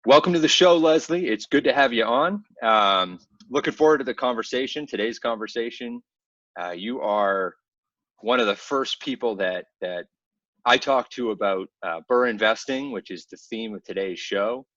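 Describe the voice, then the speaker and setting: A man's voice: mid-range voice, normal speed, fairly bright tone, no echo, lo-fi sound. A man hosting an interview podcast in an upbeat, conversational style.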